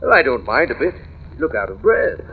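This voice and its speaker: A man's voice: a little high, big pitch swings, fairly quick, vocal fry. An actor playing an over-helpful, unsettling building workman in an old-time radio thriller, folksy and insistent with odd hesitations. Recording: noisy, tinny old radio recording.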